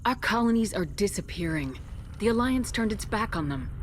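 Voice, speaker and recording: An actress playing a resolute, weary starship commander in a video game, speaking in a firm, measured, direct way. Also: female voice, medium pitch, lively intonation, slight background noise, close to an everyday voice. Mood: earnest, firm. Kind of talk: video game cutscene acting.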